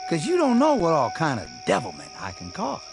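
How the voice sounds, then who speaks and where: A man's voice: on the high side, huge pitch swings, brisk, creaky, over music, exaggerated voice. An actor playing a stubborn young country man in a radio drama, with a rural Southern US accent and a folksy, plain-spoken manner. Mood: defiant, menacing calm. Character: defiant young country man suspected of being undead.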